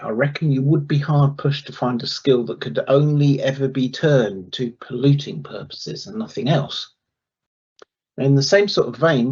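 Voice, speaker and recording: A middle-aged man speaks at a conference over a webcam, his voice husky and a little breathy from a sore throat. He talks in a measured, deliberate way with plenty of fillers, and the sound comes through dull and lo-fi. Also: mid-range voice. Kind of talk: prepared conference talk. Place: indoors, at a desk.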